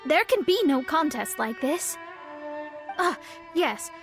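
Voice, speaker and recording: Snooty young anime game astrologer lady, really high voice, pompous formal talk, bit of music under. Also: lively intonation, slow, exaggerated voice. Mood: self-assured. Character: proud, haughty young female astrologer, easily exasperated. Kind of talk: anime-style video game acting.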